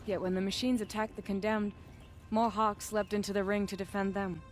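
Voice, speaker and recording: Give me an actress as a young noblewoman hunter from a dramatic video game, proud and defiant. Her mid-pitched voice is firm, assertive and determined, with music playing underneath. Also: level tone, a little fast, natural voice. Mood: grieving, bitter.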